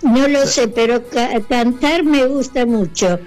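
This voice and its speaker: A woman's voice: on the high side, a little slow, darkish tone. An older woman from Calabria, chatting casually and hesitantly, repeating words and mixing with Spanish. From Calabria, Italy. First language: Italian or Calabrese. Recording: music playing behind the voice.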